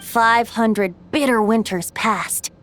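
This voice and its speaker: A woman voicing a wistful, playful bard in a game, speaking in a polished, deliberate, theatrical way. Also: a little high, a bit bright. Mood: solemn storytelling. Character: wistful, playful bard telling an old legend. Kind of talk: storybook narration, measured and theatrical. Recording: background music under the voice.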